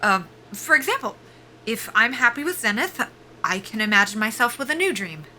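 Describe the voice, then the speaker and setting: An actress playing a guarded young soldier-pilot at a job interview in an audio drama, politely formal and clipped, with dry humor. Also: female voice, a little high, sing-song, moderate pace, sharp tone, a little hiss or hum, exaggerated voice, poor audio. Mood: guarded, hesitant. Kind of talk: naturalistic audio drama acting.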